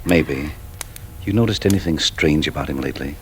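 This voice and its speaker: An actor playing a calm, kindly family doctor in an old radio drama, slow and soothing. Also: male voice, rumbling low, slightly rough, heavily acted. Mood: grave, reluctant. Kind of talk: 1950s radio drama acting.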